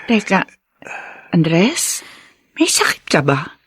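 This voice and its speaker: A woman playing a devoted middle-aged wife and mother in an emotional audio drama, anxious and expressive. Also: lowish voice, slow, breathy edge, no room sound, studio quality, heavily acted. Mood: puzzled, gentle.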